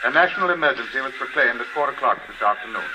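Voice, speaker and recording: A man's voice: mid-range voice, lively intonation, fast talker, close to an everyday voice. Through heavy noise on an old radio recording, a male actor as a London announcer delivers a grave bulletin. He is stately and formal, with a mid-range voice and firm emphasis. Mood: grave, official. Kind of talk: 1950s radio emergency bulletin.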